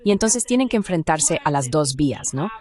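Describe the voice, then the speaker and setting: A woman's voice: deep voice, sing-song, a little fast, darkish tone. A woman speaking as an academic expert guest in an interview, explaining things in an analytical, discursive way. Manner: analytical, discursive, with rhetorical check questions.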